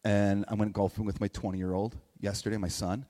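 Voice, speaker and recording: A man's voice: lowish voice, level tone, a little fast, dark tone. A man in his forties, a pastor, gives an informal, conversational sermon, often repeating phrases and checking in with his listeners. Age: 40s. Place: large reverberant indoor room, church gathering. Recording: echoey hall with long reverb.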